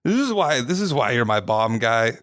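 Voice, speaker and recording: A man voicing a drunk, excitable male media personality who gushes and pleads in a high, whiny voice during an improvised tabletop roleplay. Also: normal speed, no room sound, clean studio sound. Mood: gushing. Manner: pleading, gushing, high-pitched.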